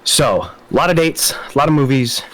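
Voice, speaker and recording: A man's voice: medium pitch, animated, normal speed, fairly bright tone, poor audio. A young man co-hosting a casual movie podcast, upbeat and rattling off lists of release dates. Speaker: podcast co-host.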